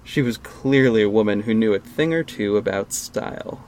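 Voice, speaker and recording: A man's voice: on the low side, moderate pace, darkish tone, slight background noise, natural voice. A man narrating an audio drama in the first person, with a wry, casual storytelling manner.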